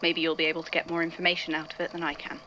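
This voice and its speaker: A woman's voice: sits low, little pitch movement, very fast, pushed and bright, slight background noise, poor audio. A woman playing an irritable, bookish male archivist in an audio drama, speaking hesitantly into a recorder and thinking aloud. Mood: subdued, shaken. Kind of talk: audio drama narration, recorded case notes.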